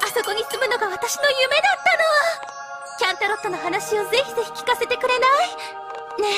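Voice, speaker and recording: A woman's voice: extremely high pitch, expressive, fast talker, over loud music. A woman voicing a refined, theatrical, fashion-loving female unicorn pony in a cartoon dub, with gushing ladylike speech.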